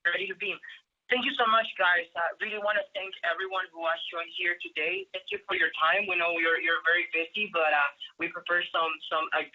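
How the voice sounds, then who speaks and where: A man's voice: a little high, pushed and bright. A man from Guatemala giving an earnest, thankful webinar presentation, often restarting and repeating words. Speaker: agency partner presenting in a webinar. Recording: muffled lo-fi audio.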